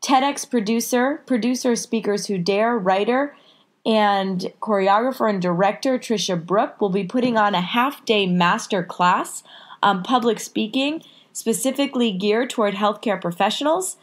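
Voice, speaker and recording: A woman's voice: mid-range voice, normal speed. A woman hosting a podcast, stuffed up with a cold, chatty and upbeat as she introduces the episode. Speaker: podcast host. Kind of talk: casual podcast intro and interview.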